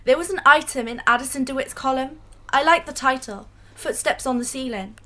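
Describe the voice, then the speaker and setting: A woman's voice: high voice, brisk, bright, forceful tone. A woman acting in a radio drama as a shy, humble young female fan who speaks hesitantly. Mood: admiring. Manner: hesitant, stammering.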